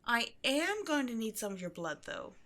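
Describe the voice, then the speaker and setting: A woman's voice: fairly high pitch, big pitch swings, normal speed, sharp tone. Woman acting breezy, chatty occult shop owner. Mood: breezy, reassuring. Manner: chatty, breezy, offhand about eerie things. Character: upbeat, quirky young woman running a mysterious occult shop. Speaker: shop owner character speaking to a new employee. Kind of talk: ASMR roleplay, casual one-sided conversation.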